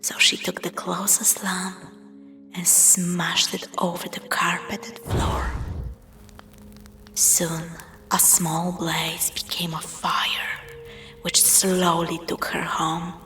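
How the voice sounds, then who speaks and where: A woman narrating a scripted spooky story in a hushed, breathy, dramatic storytelling manner. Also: fairly low pitch, little pitch movement, takes it slowly, whispered. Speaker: storyteller, voice performer. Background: music.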